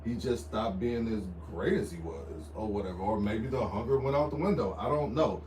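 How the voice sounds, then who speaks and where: A man's voice: fairly low pitch, fairly quick, darkish tone. A man chatting casually on a podcast, giving his opinions in a tired, exasperated way. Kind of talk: casual chat. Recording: roomy sound, distant mic, background noise.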